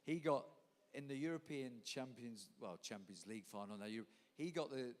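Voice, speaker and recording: A man hosts a live evening on stage, chatty and quick to laugh as he spins a story and hands questions to his guests. His voice sits at an ordinary middle pitch. A long hall reverb trails behind every phrase. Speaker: event host. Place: live event venue. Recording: echoey hall.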